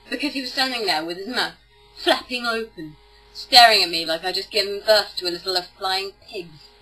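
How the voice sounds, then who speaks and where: A woman's voice: mid-range voice, pushed and bright, breathy edge, low-quality recording. A woman giving a theatrical, sarcastic dramatic reading of a novel as an amateur narrator. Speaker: amateur book reader. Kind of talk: mock-dramatic audiobook narration.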